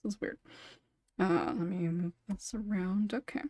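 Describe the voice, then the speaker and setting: A woman chats casually as a podcast host, wandering through her thoughts and restarting as she goes. Her pitch sits in the middle for a woman, and the sound is dry and close to the microphone. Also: steady tone, moderate pace, darkish tone, dry recording. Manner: rambling, self-interrupting, chuckles between thoughts. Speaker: podcast host and script writer.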